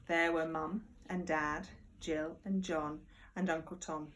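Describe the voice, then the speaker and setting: Lower-voiced woman reading a picture book aloud. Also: a little hiss or hum. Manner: expressive, slow deliberate reading with chatty asides about pictures. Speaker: primary school teacher reading to pupils. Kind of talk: picture book read-aloud for young children. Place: at home.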